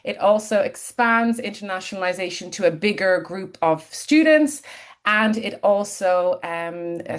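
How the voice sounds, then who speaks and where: An Irish woman, a lecturer and researcher, presenting a keynote over a video call, explaining at length with many ums. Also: mid-range voice. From Ireland. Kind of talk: webinar presentation.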